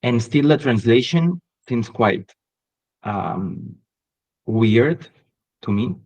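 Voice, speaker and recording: A man with a Catalan accent, a podcast guest talking casually and hesitantly, with many pauses and restarts. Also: medium pitch, animated, a little mellow, low-quality recording. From Catalonia, Spain. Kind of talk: casual interview chat.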